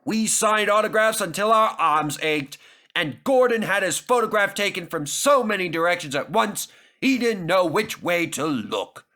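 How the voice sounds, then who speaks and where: A man's voice: sits high, expressive, normal speed, sharp tone, pitched above the performer's own voice. A man playing a cheerful, talkative, respectful engine driver. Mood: pleased.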